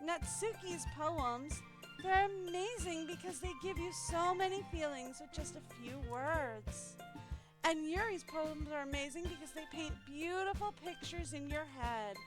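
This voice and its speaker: A woman's voice: high-pitched, measured pace. A woman voicing a sweet, childlike teenage girl peacemaker from a visual novel, earnest and gushing. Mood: pleading. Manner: pleading, gushing. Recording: loud music behind the voice.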